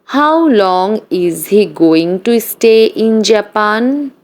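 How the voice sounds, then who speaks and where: A woman reads simple practice sentences for learners, pausing and spacing every word with great care. Her pace is exceptionally slow and her pitch sits in an ordinary middle range. The delivery stays even and deliberate throughout, like a drill. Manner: deliberate, evenly spaced, each sentence read twice. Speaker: English practice reader.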